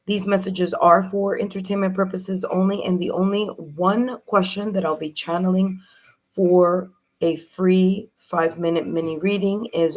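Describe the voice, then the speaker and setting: A woman with a slightly low, hoarse and raspy voice hosts a live tarot stream. She chats in a welcoming way, talking straight to her viewers and filling pauses with frequent ums and uhs. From New York. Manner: chatty, welcoming, with frequent fillers. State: hoarse, raspy from early morning and much talking.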